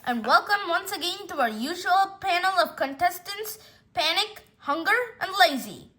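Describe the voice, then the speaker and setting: A woman's voice: very high voice, sing-song, normal speed, bright, forceful tone, pitched above the performer's own voice, exaggerated voice. A woman voicing a slick, peppy game show host with showy announcer patter, in a storybook reading. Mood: showy, upbeat.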